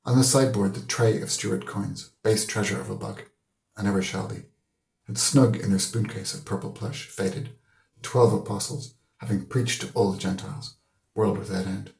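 Male literary narrator, Irish accent, lowish creaky voice, roomy recording. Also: fairly even intonation, normal speed, a little mellow. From Ireland. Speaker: audiobook reader. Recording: roomy echo.

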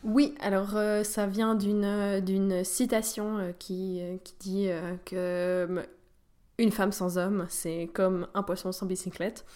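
Podcast co-host, woman, mid-pitched, hesitant, fillers, endings fade softly. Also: flat delivery, takes it slowly. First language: French. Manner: hesitant, many fillers, soft trailing endings. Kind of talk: casual podcast chat.

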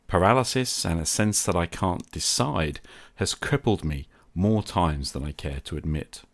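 A man speaking as a podcast host in a reflective scripted monologue, with an earnest, measured manner. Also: sits low.

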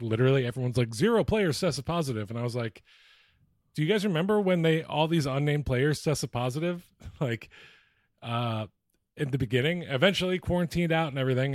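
A man's voice: mid-range voice, dry recording, clean studio sound. An American man co-hosting a podcast, chatting casually about sports in an informal, sweary way. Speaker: podcast co-host. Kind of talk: casual banter and sports chat.

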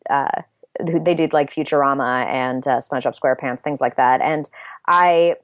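A young adult woman guest talking thoughtfully in an interview, with a soft, soothing voice and frequent restarts. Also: very deep voice, moderate pace, dry recording, clean studio sound. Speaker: interview guest, writer and voice actor. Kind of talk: casual interview chat.

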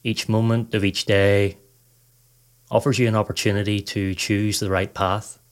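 Male podcast narrator, lower register, measured delivery for scripted guided meditation. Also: level tone. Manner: measured, instructional, reading aloud.

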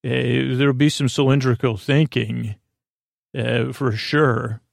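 A man with a creaky voice hosting a bedtime podcast in a halting, meandering, lulling improvised monologue. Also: mid-range voice, fairly even intonation, no echo, studio quality. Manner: meandering, halting, trails off into tangents; soothing, lulling. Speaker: podcast host.

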